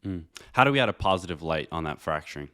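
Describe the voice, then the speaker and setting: Male interviewer. Deep register, clean resonant tone, conversational questioning. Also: animated, fast, a bit bright. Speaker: interviewer, podcast host.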